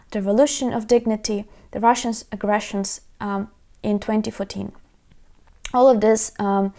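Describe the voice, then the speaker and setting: A woman in her thirties with a Ukrainian accent, giving a casual lesson and often pausing with uh and um. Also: medium pitch, darkish tone. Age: 30s. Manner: frequent hesitations with uh and um. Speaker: language teacher.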